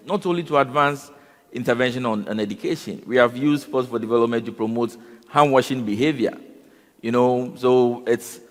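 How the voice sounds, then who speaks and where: A man speaking on a conference panel, often pausing with fillers and repeating words. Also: medium pitch. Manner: halting, repeats words and fills with "you know" and "um". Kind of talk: panel discussion. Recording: long hall reverb.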